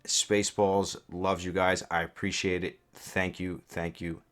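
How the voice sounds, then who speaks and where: A man's voice: low voice, little pitch movement, unhurried, a bit bright. A young man with a stuffed-up, scratchy voice, talking casually through a game guide with earnest, rambling asides and many fillers. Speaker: gaming YouTuber.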